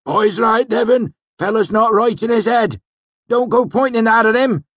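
A man's voice: very high voice, normal speed, fairly bright tone, dry recording, voice pushed up. A man voicing a blunt, folksy old village man in a storybook, with clipped rustic speech. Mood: blunt, scolding.

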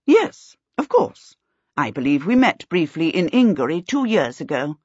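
A woman voicing a gracious storybook princess in a brisk, regal and decisive manner. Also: low voice, very animated, a little mellow, slightly rough, no echo, dull audio, exaggerated voice. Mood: gracious, commanding.

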